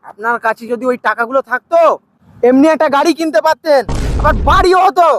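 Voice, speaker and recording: A man plays an earnest, naive passer-by in a comedy skit, with an extremely high male voice. He is polite, hesitant and keeps prying and moralizing, over faint background noise. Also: lively intonation, quick pace, fairly bright tone. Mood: earnest, preachy. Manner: deferential, hesitant, persistent questioning.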